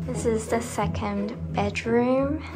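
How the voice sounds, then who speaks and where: A woman's voice: on the high side, slow pace. A woman in her twenties chatting casually as a travel vlogger, recorded indoors in an apartment. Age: 20s. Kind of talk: casual vlog chat. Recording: roomy echo with music behind. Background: music.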